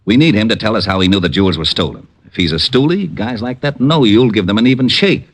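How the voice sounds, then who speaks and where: An actor playing an earnest, beleaguered homicide lieutenant in old-time radio drama, gruff and plain-spoken. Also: male voice, lowish voice, lively intonation, quick pace.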